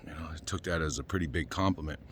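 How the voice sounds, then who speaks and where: A man's voice: extremely low pitch, very fast, fairly bright tone. A man in his fifties from Boston with a Boston accent, rambling casually in a vlog and leaning on "you know" fillers, a little winded after a run. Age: 50s. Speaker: vlogger. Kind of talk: casual talking-head vlog.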